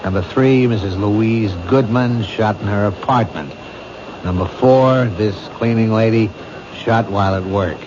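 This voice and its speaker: A world-weary police captain , voiced by an actor, lays out the facts in a brisk, lowish voice. The 1970s radio drama comes through a muffled, noisy old broadcast. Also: male voice, close to an everyday voice. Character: gruff, weary middle-aged male police captain.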